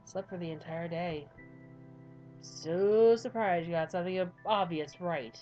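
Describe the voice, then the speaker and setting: A woman voicing a tired, grumpy young man who mumbles in a low, weary voice. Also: a little slow, dark tone, lo-fi sound, soft background music, natural voice. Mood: sarcastic.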